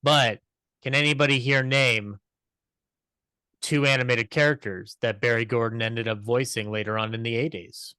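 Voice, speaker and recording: Bright, dry-sounding man in casual chat. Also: medium pitch, fairly even intonation, moderate pace, clear, resonant tone, no room sound. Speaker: podcast panelist. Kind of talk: casual chat about old television.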